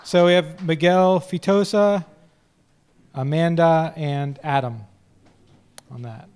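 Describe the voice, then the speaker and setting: A man's voice: fairly high pitch, slow, a little mellow, clean tone. A man announcing informally as an event host, with faint noise behind him. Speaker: event host introducing presenters.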